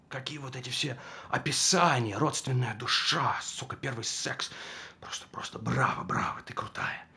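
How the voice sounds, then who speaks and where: Актёр играет ревнивого, жестокого мужа, который издевается над женой и то шипит, то срывается на крик. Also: male voice, medium pitch. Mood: quiet, mocking. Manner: mocking, swings from menacing whispers to shouting. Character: jealous abusive husband tormenting his wife. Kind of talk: stage drama performance.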